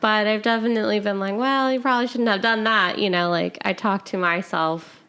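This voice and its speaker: A woman's voice: medium pitch, fairly even intonation, brisk, sharp tone, smooth. Giggly young woman co-host. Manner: giggly, chatty, self-deprecating. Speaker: podcast co-host. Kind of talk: casual chat.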